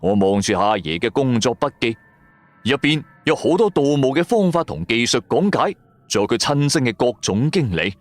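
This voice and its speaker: Man, Cantonese, radio-drama storyteller, colloquial, expressive, animated intonation, soft music underneath. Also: mid-range voice, normal speed, no echo. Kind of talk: first-person dramatised scripted narration.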